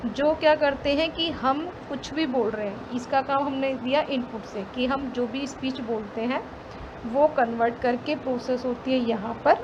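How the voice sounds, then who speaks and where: An Indian woman speaks in a high-pitched voice, teaching in an explanatory way. She goes over each point step by step and restates it. The recording has clear noise behind her. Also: dull audio. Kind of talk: explanatory slide lesson, reading definitions aloud and explaining them. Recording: clearly noisy background throughout.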